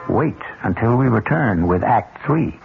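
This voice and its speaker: A man narrating a radio mystery drama as host, deliberate and theatrical, in a suspenseful scripted style. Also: on the low side, lively intonation, gravelly. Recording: tinny vintage radio recording, music behind.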